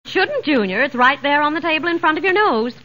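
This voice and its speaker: Lilting, animated sitcom mother. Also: high voice, quick pace. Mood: dryly chiding. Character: kindly but dry middle-aged wife and mother. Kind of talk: 1940s radio situation comedy acting. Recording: dull old radio recording, audience noise.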